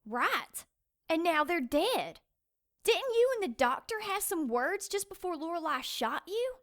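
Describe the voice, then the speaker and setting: A woman's voice: very high-pitched, sing-song, measured pace, a bit bright, exaggerated voice. A woman playing a suspicious, frightened young small-town woman in an audio drama, speaking in an accusatory, confrontational way. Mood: accusing, nervous.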